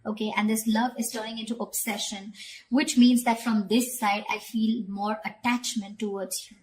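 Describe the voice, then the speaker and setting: A woman's voice: a little high, little pitch movement, moderate pace, a little mellow, a little hiss or hum, rough recording. A woman giving a conversational tarot reading straight to the viewer, often repeating phrases and checking in with short tag questions. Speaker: tarot reader.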